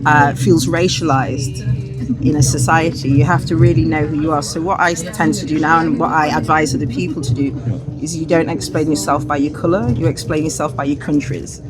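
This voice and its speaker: A woman from the north of England, a human rights investigator and academic talking in a measured, reflective way. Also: deep voice, fairly even intonation, over loud music. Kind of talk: casual street conversation. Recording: crowd noise behind.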